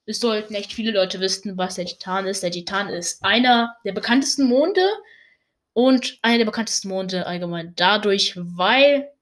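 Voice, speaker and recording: A woman's voice: mid-range voice, normal speed. A young woman casually reading a long list, laughing at her own pronunciation. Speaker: video host listing moons.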